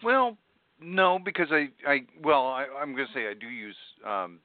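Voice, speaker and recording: Animated man on a phone-like line. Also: medium pitch, moderate pace, no room sound. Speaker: podcast co-host. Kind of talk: casual tech tips chat. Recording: dry, phone-like narrow-band audio.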